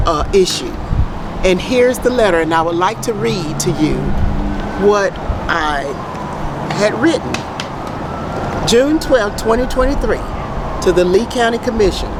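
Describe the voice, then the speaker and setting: A middle-aged woman reads a prepared statement aloud in a deliberate, formal, emphatic way. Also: medium pitch, background noise. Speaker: private citizen giving a public statement.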